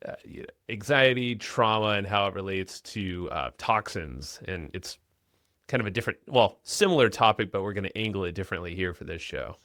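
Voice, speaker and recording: A man's voice: fairly low pitch, lively intonation, brisk, a bit bright, dry recording, clean studio sound. A man hosting a podcast interview, upbeat and chatty, often repeating words as he starts sentences. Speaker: podcast host. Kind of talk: casual podcast interview with ad read.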